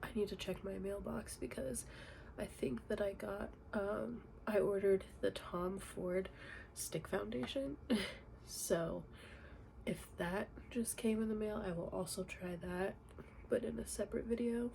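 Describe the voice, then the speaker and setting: A woman's voice: medium pitch, unhurried, vocal fry, slight background noise, low-quality recording. A young woman with a congested, nasal voice from a cold, chatting casually in a makeup tutorial at home. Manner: chatty, relaxed, many fillers. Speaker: beauty vlogger. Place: home, indoors.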